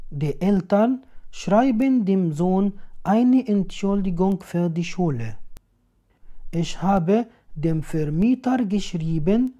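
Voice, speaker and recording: A woman reading example sentences slowly and deliberately, each one twice, as a language teacher in a voice-over. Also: fairly low pitch, warm tone. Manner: slow, deliberate, clearly enunciated.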